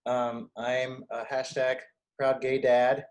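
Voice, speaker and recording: A man's voice: medium pitch, monotone, slow, a little hiss or hum. A man speaking in an upbeat, perky way as a panel guest on a video call.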